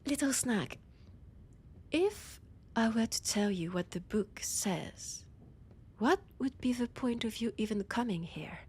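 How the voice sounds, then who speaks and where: Woman doing a french accented vampire scholar lady, breathy and slow, snooty, teasing, kinda menacing, audio roleplay. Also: on the high side, animated, darkish tone, heavily acted. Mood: annoyed, mocking. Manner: slow, teasing, condescending, often dropping to a whisper. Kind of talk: scripted audio roleplay addressed to the listener.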